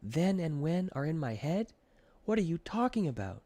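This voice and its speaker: A man's voice: on the high side, sing-song, moderate pace, dark tone, voice pushed up. A man voicing a worried, easily frustrated young boy in a children's audiobook, with an anxious, insistent manner.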